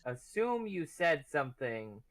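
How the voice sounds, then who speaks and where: A man's voice: on the high side, very animated, measured pace, over a noisy crowd. A man chatting casually as a player in a tabletop game. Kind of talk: casual chat.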